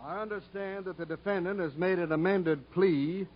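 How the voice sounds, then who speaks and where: An actor playing a stern, formal federal judge in a 1950s radio drama. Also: male voice, high voice, fairly even intonation, normal speed. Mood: solemn, official. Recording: dull 1950s radio broadcast recording.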